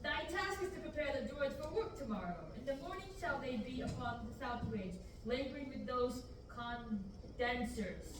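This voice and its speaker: Stern farmer uncle, read by a young woman, measured verse, far-off echoey classroom with hiss. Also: high-pitched, lively intonation. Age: young adult. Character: stern middle-aged male farmer uncle. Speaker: student actor at a table reading. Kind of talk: reading Shakespearean verse aloud. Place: large classroom, indoors. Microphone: far camera.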